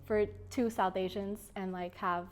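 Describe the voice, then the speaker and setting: A woman's voice: mid-range voice, steady tone, measured pace, faint music behind. A young woman author, talking upbeat about her books in an interview.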